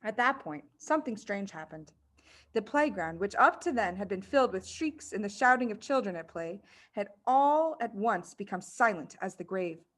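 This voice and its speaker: A woman reading a children's book aloud as narrator, in an expressive storytelling style. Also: mid-range voice, normal speed. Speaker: audiobook reader.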